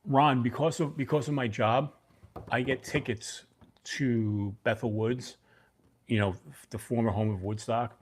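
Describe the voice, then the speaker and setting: A man's voice: medium pitch, steady tone, unhurried, breathy edge. A middle-aged man from Brooklyn with a mixed New York and New Jersey accent, chatting loosely as the host of a livestream and answering viewers by name. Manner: rambling, talks to chat viewers by name. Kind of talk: casual livestream chat.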